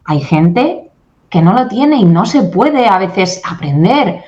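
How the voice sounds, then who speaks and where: A woman in her forties from Spain, a guest coach in a radio interview, talking in an animated way and acting out quoted exchanges. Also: medium pitch, takes it slowly, muffled recording. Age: 40s. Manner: animated, acts out quoted dialogue. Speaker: guest, English communication coach.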